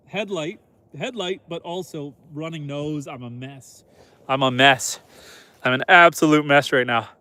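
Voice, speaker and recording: Canadian guy with a head cold, nose all stuffed up, kinda high voice, dry close sound, easygoing chatter. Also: dry recording. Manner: relaxed, chatty, soft-spoken to the animals. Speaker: homesteader streaming live. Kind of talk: casual live-stream chat reading comments. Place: outdoors at night on a farm.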